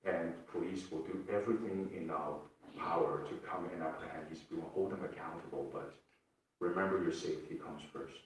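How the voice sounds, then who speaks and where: A low, deep male voice carries through a heavily reverberant room, picked up from a distance. He gives a public address as a police officer, earnest and somewhat halting, restating his main points. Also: steady tone, a little hiss or hum. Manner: hesitant, earnest, repeats key points. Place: public meeting room, away from mic. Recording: very echoey room, distant mic.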